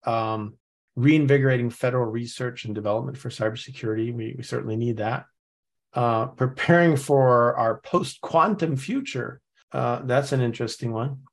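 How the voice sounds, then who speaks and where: A man's voice: mid-range voice, moderate pace, vocal fry. Male podcast host, mid-range voice, casual and musing, reads notes aloud. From Norway. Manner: thinks aloud, reading and musing with many restarts. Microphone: remote call setup.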